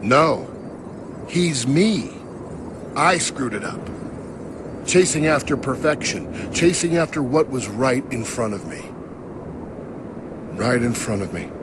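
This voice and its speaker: A man playing a weary, regretful middle-aged creator of a digital world in a game cutscene, speaking gravely and haltingly. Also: medium pitch, lively intonation, background noise. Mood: self-blaming. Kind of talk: dramatic game cutscene acting.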